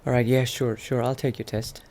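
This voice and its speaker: Halting, muttering male vampire adventurer, played by a man. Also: medium pitch, little pitch movement, a little mellow, a little hiss or hum, natural voice. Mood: hesitant. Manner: halting, muttering, repeats himself. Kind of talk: improvised video game roleplay.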